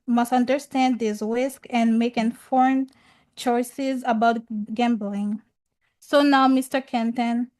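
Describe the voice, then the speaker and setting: A woman's voice: on the high side, a little mellow. A young woman hosting a youth podcast, reading scripted lines with frequent stumbles and restarts. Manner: halting reading with stumbles over words. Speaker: youth leader host.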